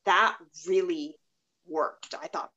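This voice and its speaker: A woman's voice: lowish voice, slow, pushed and bright. A woman hosting a podcast in a casual, chatty, anecdotal way. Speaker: podcast host. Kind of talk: casual chat. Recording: slightly dull, lo-fi microphone.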